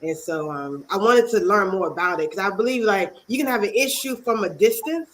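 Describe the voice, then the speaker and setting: A woman's voice: mid-range voice, animated, noisy background. Congested woman guest from Maryland. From Maryland, USA. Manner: earnest, halting, many pauses. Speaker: author and youth advocate guest. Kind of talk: interview talk.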